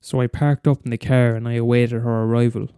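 A man's voice: medium pitch, level tone, a little fast, mellow, clear, resonant tone, dry recording, clean studio sound, natural voice. A man playing a bitter, weary middle-aged schoolteacher in a wry, wordy confessional story monologue. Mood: weary, sardonic. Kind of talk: first-person dramatic monologue.

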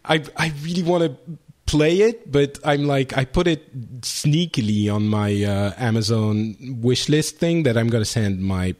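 A man's voice: mid-range voice, measured pace, darkish tone. A man co-hosting a gaming podcast in casual chat, rambling with many fillers and restarts. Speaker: podcast co-host.

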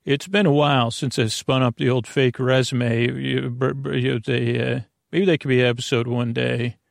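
A man hosting a bedtime podcast in a creaky, lulling voice, meandering hesitantly through tangents. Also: mid-range voice, level tone, moderate pace, no room sound, clean studio sound. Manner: hesitant, digressive, trailing off into tangents; lulling, soothing. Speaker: host of a bedtime sleep podcast. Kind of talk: rambling, meandering bedtime monologue.

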